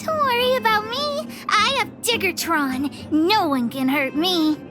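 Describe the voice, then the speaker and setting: A woman's voice: very high-pitched, unhurried, over loud music. A woman voicing a fierce, brave little girl in a video game, with a bold, childlike way of talking. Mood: plucky, reassuring. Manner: bold, childlike, speaks of herself by name. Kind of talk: animated video game voice acting.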